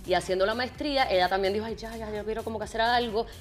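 A woman's voice: a little high, racing, soft background music. A Puerto Rican woman in her thirties, an actress chatting easily and laughing as a guest on a TV studio set. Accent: Puerto Rican Spanish. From Puerto Rico. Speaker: actress and t-shirt designer, guest. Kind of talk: casual interview chat.

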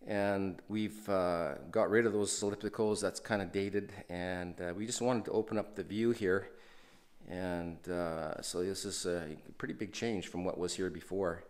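A man's voice: deep voice, fairly even intonation, measured pace, darkish tone. A man hosting a renovation video, casually walking through an empty house and talking with many fillers and restarts. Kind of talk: casual walkthrough narration.

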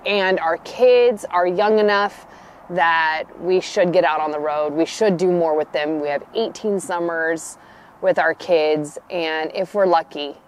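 Upbeat woman with a lowish voice. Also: unhurried, a little hiss or hum. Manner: upbeat and enthusiastic. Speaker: vlog co-host. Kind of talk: casual travel chat. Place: outdoors beside a travel trailer. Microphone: camera at a distance.

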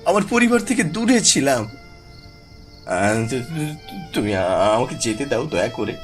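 A man playing a frightened, remorseful man in a horror cartoon, stammering and pleading haltingly. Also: medium pitch, very animated, faint music behind. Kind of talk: animated horror story acting.

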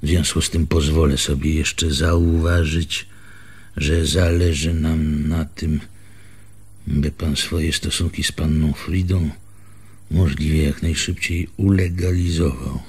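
An actor in a radio play as a pompous, officious male village schoolteacher, speaking formally and pedantically in a husky voice. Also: rumbling low, flat delivery, takes it slowly, full character voice. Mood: officious, stern. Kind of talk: Polish radio theatre acting.

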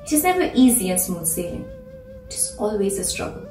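A woman's voice: high-pitched, wildly swooping pitch, brisk, a little mellow. Woman reading aloud from afar, roomy sound. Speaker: actor reading a message. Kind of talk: scripted message read aloud. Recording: roomy, distant mic, music behind.

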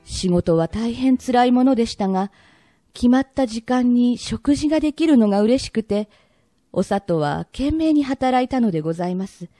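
A woman narrator with a very bright, forward voice in a dry recording. She tells a period radio drama formally, in measured, old-fashioned polite phrasing. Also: on the high side, expressive, no echo, soft background music.

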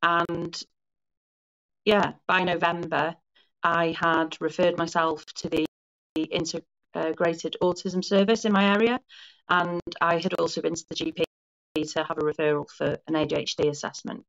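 A woman's voice: lowish voice, steady tone, unhurried, vocal fry, fairly bright tone. A woman in her forties from Wales, speaking as a podcast guest from her lounge, explaining her story at length with small restarts and self-corrections. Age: 40s. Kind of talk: casual conversational interview. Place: home lounge. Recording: dull lo-fi call audio.

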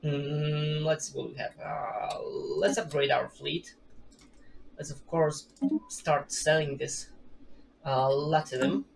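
A man's voice: on the high side, steady tone, slow, creaky, slight background noise. A man in his thirties casually commentating a strategy game from a gaming chair at home, rambling and laughing at himself. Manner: rambling, chatty, self-deprecating, laughs often. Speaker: gaming streamer. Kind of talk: casual let's play commentary.

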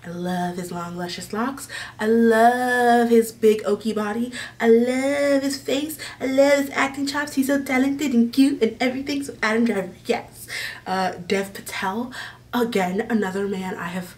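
A woman's voice: a little high, moderate pace, a little mellow, distant mic. A young American woman chatting casually to camera as a vlog host, gushing and rambling as she goes. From Maryland, United States. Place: small decorated room indoors.

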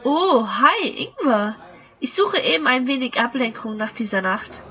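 Woman acting confident young society girl in a radio play, slightly high voice, headstrong. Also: sing-song, measured pace, sharp tone, highs cut off, exaggerated voice. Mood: friendly. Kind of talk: German amateur audio drama dialogue.

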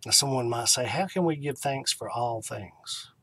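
A middle-aged man hosting an online ministry, talking informally and devotionally to camera in an unhurried way, with emphatic pauses and repeated key phrases. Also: mid-range voice, pushed and bright, slightly rough.

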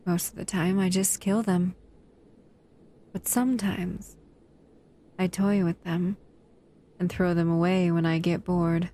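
A woman's voice: lowish voice, level tone, slow, pauses often, warm tone. A woman voicing a dangerous, bored humanoid monster in an audio roleplay, speaking to the listener in a languid, teasing, menacing way. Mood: aloof, curious.

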